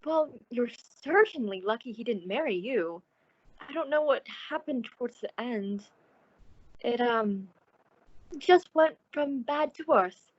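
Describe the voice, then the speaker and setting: A high, very lively voice from an actress plays a naive, nervous woman in radio suspense. She is placating and exclamatory, swinging widely in pitch. The sound is a little dull and lo-fi. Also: unhurried, no echo. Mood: wistful, chatty. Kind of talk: old-time radio suspense acting.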